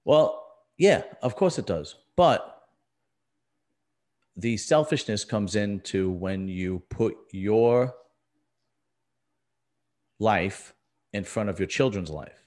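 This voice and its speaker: A man's voice: fairly low pitch, expressive, slow, pauses often, darkish tone. A middle-aged man hosts a casual podcast. He talks bluntly and emphatically, hammering his points by saying them again. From US East Coast. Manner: blunt, emphatic, repeats himself. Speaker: podcast host. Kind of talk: casual conversational podcast talk.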